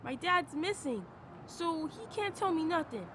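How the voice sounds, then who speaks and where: High-voiced sullen young boy. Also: lively intonation, a little slow, full character voice. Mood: sullen, resigned. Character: sullen, defiant school-age boy. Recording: loud street and vehicle noise behind.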